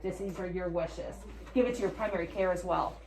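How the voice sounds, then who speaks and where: A woman giving an informal presentation in a loose, halting way with many fillers. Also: on the low side, fairly even intonation, reverberant, poor audio.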